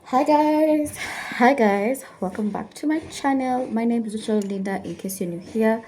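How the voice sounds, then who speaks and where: A woman's voice: a little high, moderate pace, a little mellow, a little hiss or hum. A woman, chatting casually to her viewers while she does her makeup, slipping Swahili phrases into her English. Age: 20s. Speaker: lifestyle YouTuber. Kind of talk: chatty get-ready-with-me talk while doing makeup. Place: indoors at home.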